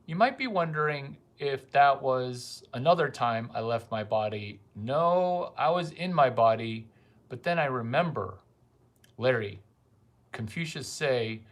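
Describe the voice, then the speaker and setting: Male vlogger, mid-pitched, unhurried, rambling and crude, casual monologue. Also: lively intonation. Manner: rambling and crude, with profane asides.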